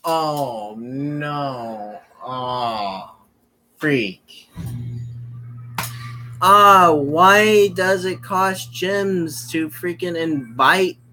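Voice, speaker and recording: Male streamer, casual visual novel commentary, very slow delivery, sharp bright tone, stumbles and repeats himself. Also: fairly high pitch, expressive. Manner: rambling, stumbling over words and repeating phrases.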